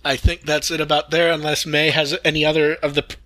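A man's voice: on the high side, flat delivery, fast talker, fairly bright tone, a little hiss or hum. A man hosting a casual fan news discussion, talking in an enthusiastic, rambling way and occasionally swearing. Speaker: podcast host.

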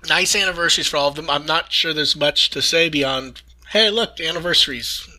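Guy hosting a podcast, very bright forceful voice, a bit high, chatty with dry humour. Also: brisk, low-quality recording. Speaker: podcast host. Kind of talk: casual news discussion.

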